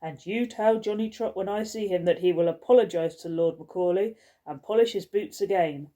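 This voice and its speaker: A pompous, furious older housekeeper, as a woman storyteller puts her on, stretching every outraged word into a slow scold. The voice jumps up high when her temper flares and drops low when she fumes. She sounds like a stern ruler of the servants' corridor in a storybook. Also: warm tone, natural voice. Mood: fuming. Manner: indignant, drawn-out scolding.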